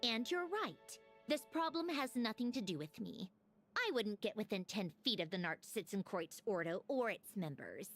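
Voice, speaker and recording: An actress playing a calm, confident, faintly smug female agent in a game cutscene. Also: mid-range voice, normal speed. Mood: reflective. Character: cool, self-assured female Fatui agent. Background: game music.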